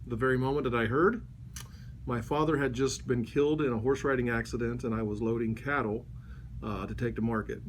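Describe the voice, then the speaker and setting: Halting man in his forties, faint noise. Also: mid-range voice. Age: 40s. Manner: halting, many ums and restarts. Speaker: interviewee. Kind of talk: recalling and explaining in a casual interview. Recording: background noise.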